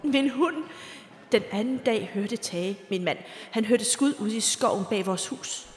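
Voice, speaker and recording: An actress playing an indignant, sharp-witted parishioner in a comic radio drama. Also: female voice, on the high side, lightly acted. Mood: worried, confiding. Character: indignant, sharp-witted married woman parishioner, devoted dog owner. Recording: echoey reverberant church sound.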